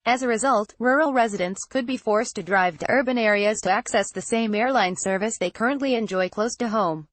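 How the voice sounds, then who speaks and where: A woman's voice: on the high side, brisk, few pauses, no echo. A woman reading scripted news narration in a formal, word-for-word manner.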